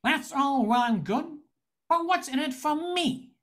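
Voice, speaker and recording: A woman's voice: sits high, lively intonation, measured pace, a bit bright. A woman playing a wry, jaded male bar owner in a space-noir radio spoof, narrating and speaking in scenes. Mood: guarded, hard-boiled. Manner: wry, hard-boiled.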